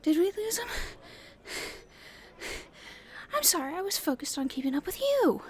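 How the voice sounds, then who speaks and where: A woman playing a cheeky young street thief in an ASMR roleplay, chatting casually and haltingly to the listener. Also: very high voice, very animated, darkish tone. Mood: giddy, mischievous. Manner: casual, halting speech with hesitations.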